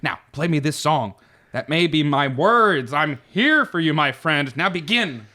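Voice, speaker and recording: A man's voice: on the high side, very animated, a little slow, pushed and bright, full character voice. A man playing a devoted, florid poet in a comedic audio drama, speaking in a theatrical, declamatory way. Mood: eager, grand. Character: grandiose, devoted male poet and writer, florid friend.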